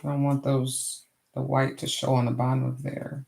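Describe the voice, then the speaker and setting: A middle-aged woman with a hoarse voice, hosting a casual crafting livestream and chatting to viewers as she works. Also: extremely low pitch, little pitch movement, moderate pace. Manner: chatty, greets viewers warmly and often. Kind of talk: casual live craft chat.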